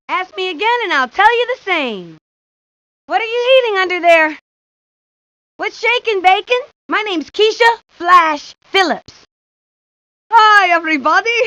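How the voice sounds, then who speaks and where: A woman's voice: very high-pitched, expressive, a little slow, sharp tone, no echo, exaggerated voice. A woman voicing a sassy, playful little girl who likes to joke and show off in a cartoon game. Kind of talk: cartoon game character lines.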